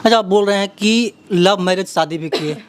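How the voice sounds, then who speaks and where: A man's voice: sits high, normal speed, clear, resonant tone. A man with a Bihari accent working as an interviewer, introducing and questioning in a dramatic, repetitive way. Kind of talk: local media interview with narrated introduction.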